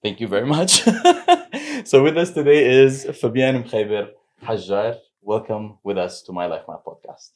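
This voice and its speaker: A man hosting a podcast interview, upbeat and energetic as he welcomes and questions his guest. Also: on the low side, moderate pace. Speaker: podcast host.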